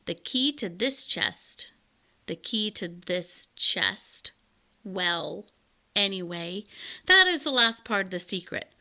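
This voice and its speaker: A woman voicing a pompous, excitable male canary king from a storybook, commanding and self-important. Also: medium pitch, takes it slowly, full character voice. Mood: bossy, impatient.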